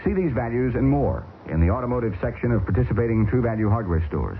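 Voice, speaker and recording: A man reading a radio commercial in a brisk, friendly sales pitch. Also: big pitch swings. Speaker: commercial announcer. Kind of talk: scripted radio advertisement. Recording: tinny old radio broadcast with hiss.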